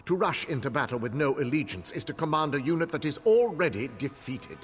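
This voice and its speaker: Proud old elf warlord for a game, high voice that swings a lot, formal and commanding. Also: male voice, very animated, brisk, breathy edge, heavily acted. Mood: resolute. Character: ancient male elf war leader, imperious and proud. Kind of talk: video game voice acting. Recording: long hall reverb, music behind.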